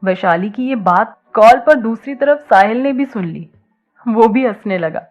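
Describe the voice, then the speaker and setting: Woman reading an audio story, expressive narration, highish pitch, kinda muffled lo-fi sound. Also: measured pace, faint music behind. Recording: slightly dull, muffled audio.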